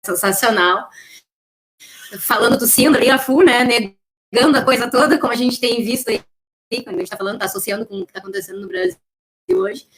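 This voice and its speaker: A woman's voice: fairly high pitch, lively intonation, brisk, fairly bright tone, rough recording. A woman with a Brazilian accent interviewing guests in a conversational style on a podcast.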